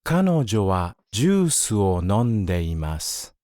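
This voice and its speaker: Clear male language-course voice. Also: fairly low pitch, big pitch swings, normal speed, warm tone, no room sound, studio quality. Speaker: course audio narrator.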